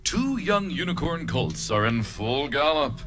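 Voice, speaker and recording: A man's voice: fairly high pitch, sing-song, unhurried. A man reading stage directions as the narrator of a live cartoon script reading. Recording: live reading with music behind.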